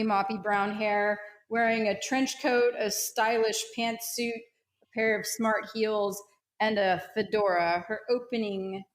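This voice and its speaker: A woman speaking casually and off the cuff as a player in a tabletop role-playing game. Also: mid-range voice. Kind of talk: casual, improvised character description.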